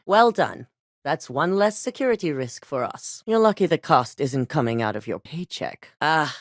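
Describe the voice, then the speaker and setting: A woman's voice: fairly low pitch, no echo, clean studio sound, natural voice. An actress playing a cold, commanding female corporate executive in a video game, speaking in a curt, businesslike way.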